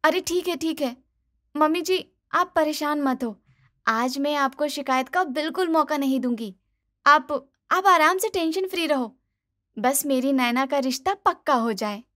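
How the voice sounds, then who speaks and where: A woman plays a young daughter-in-law in a cartoon family tale. Her voice is very high and chirpy, with innocent self-assurance. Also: measured pace, fairly bright tone. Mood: reassuring. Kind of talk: animated Hindi moral-story dubbing.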